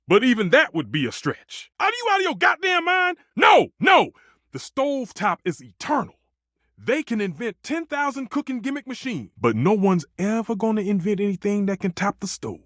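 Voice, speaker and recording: A living kitchen stove from a video game, a proud and passionate culinary purist. His fairly high voice swings dramatically up and down with theatrical emphasis. The sound is close and dry, and every line is delivered with grandiose conviction. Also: very animated, normal speed, pushed and bright, no echo, exaggerated voice. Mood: exasperated, outraged.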